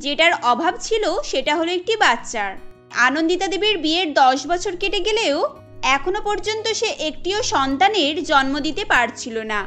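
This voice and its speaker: Female storyteller for a cartoon, high voice, steady delivery, faint music under her. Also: moderate pace. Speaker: narrator. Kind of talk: scripted cartoon storytelling narration.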